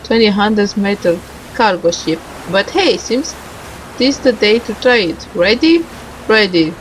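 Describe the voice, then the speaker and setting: A woman's voice: medium pitch, expressive, unhurried, slight background noise, low-quality recording. A woman doing casual game commentary, reading a visual novel's dialogue aloud and stumbling over some words with self-corrections and asides. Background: music.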